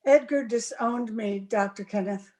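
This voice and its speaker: An actress playing a desperate, lovelorn young wife in an old-time radio drama, emotional and theatrical. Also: female voice, on the high side, measured pace, mellow, close to an everyday voice. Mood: wounded.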